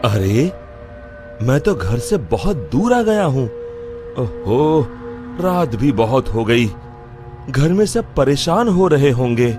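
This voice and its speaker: A man's voice: mid-range voice, very animated, takes it slowly, pauses often. A man voicing a mild, put-upon young husband in a cartoon story, with patient, exclamatory delivery. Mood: dismayed. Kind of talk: Hindi cartoon story acting. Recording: music bed behind the voice.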